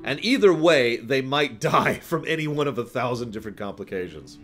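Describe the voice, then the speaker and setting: A man hosting a livestream, answering viewer questions in casual, off-the-cuff commentary with an animated, playful way of talking. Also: mid-range voice, moderate pace, faint music behind. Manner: animated, chuckles through his points, acts out examples.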